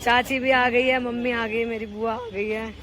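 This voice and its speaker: A woman with a hoarse sore throat, chatting casually and teasing her relatives as she vlogs a family wedding from a handheld phone. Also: fairly high pitch, little pitch movement, moderate pace, a bit bright, soft background music. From Uttar Pradesh, India. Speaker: vlogger host.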